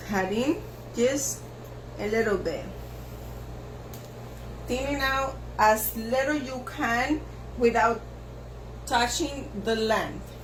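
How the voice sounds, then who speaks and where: A woman hairstylist whose first language is Spanish, giving slow step-by-step instructions for a haircut, with lots of repetition. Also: fairly high pitch, slight background noise. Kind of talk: haircut tutorial narration. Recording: echoey room with faint ambience.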